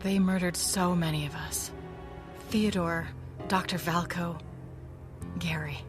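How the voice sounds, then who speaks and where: A woman's voice: on the low side, fairly even intonation, takes it slowly. Wistful, guarded woman crimefighter. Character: wistful, guarded veteran woman ally of a pulp vigilante. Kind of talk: pulp audio drama acting. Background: music.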